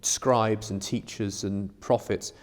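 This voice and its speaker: A man's voice: fairly low pitch, steady tone, takes it slowly. A man in a casual, informal discussion, thinking ideas through reflectively as a podcast co-host. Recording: long reverberant room sound.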